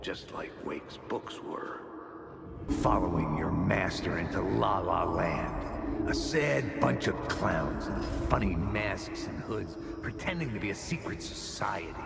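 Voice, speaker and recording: An actor playing a gruff, angry and bewildered hard-boiled detective in a noir video game, with a gravelly voice and confrontational, profane delivery. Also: male voice, sits low, animated, slow, full character voice. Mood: scornful, gruff. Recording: loud music behind the voice.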